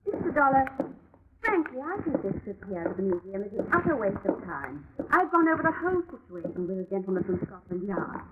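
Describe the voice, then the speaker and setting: Woman playing a brisk British assistant, tinny old radio. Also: medium pitch, big pitch swings, natural voice. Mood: brisk, insistent. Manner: crisp, businesslike, insistent. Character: brisk, efficient, self-assured female English museum assistant. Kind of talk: 1940s-50s radio drama acting. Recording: tinny, noisy vintage radio recording.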